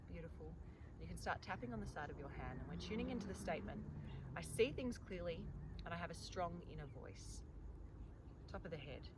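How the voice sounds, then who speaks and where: A woman teaching yoga and breathwork, guiding a meditation in a calm, gentle way. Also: fairly low pitch, brisk, very noisy.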